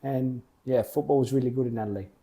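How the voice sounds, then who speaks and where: A young man, a football program co-founder, answering interview questions plainly in short, halting clauses. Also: mid-range voice, steady tone, mellow. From Australia.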